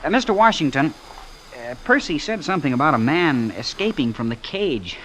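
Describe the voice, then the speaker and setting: A man's voice: on the high side, very animated, normal speed, a bit bright, slight background noise, rough recording. An actor playing an earnest, bashful small-town young man, hesitant and stammering, in old-time radio drama style. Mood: uneasy recounting.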